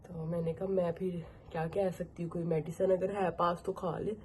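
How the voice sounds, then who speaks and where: A woman's voice: on the low side, moderate pace, slight background noise. Chatty woman vlogger with flu. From Pakistan. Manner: chatty, complaining, animated when ranting. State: sore throat, has flu. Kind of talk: casual vlog chat to camera.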